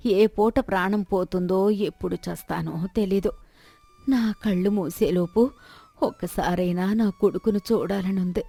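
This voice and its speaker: A woman's voice: fairly low pitch, vocal fry, mellow, no echo. A woman voicing a shrewd old village woman in a moral story, coaxing and plaintive, over music. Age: older. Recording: background music bed.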